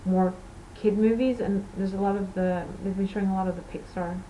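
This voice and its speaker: Portuguese-born woman hosting a knitting podcast, mid-pitched voice, wanders and corrects herself as she talks. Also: fairly even intonation, fairly quick, mellow, slight background noise, rough recording. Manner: rambling, self-correcting, conversational. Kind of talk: casual podcast chat.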